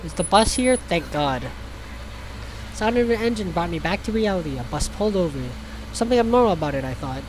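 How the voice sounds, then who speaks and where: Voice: mid-range voice, lively intonation, a little fast, poor audio. Casual game streamer, mid-range voice, reads aloud clumsily with sarcastic asides, faint noise behind. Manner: stumbles over read text, sarcastic asides, swears. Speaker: streamer reading a visual novel aloud. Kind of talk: casual playthrough, reading game text aloud with joking asides.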